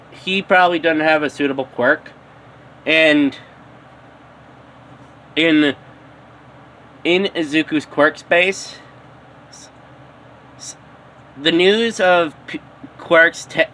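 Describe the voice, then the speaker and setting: A man with a fairly high, bright voice narrates an improvised fan story. He goes slowly and haltingly, stumbling and repeating words, with faint noise in the background.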